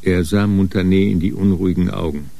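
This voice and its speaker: A man narrating a radio crime drama in a measured, matter-of-fact way. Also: low-pitched, steady tone, gravelly, warm tone. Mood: sober. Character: sober crime story narrator.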